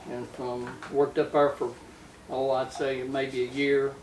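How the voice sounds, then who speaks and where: A man's voice: medium pitch, level tone, unhurried. An older man with a Southern US accent, reminiscing casually in a plainspoken, folksy way. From Tennessee, United States. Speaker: interview guest, retired-era trucker telling his life story. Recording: roomy, distant mic with faint noise.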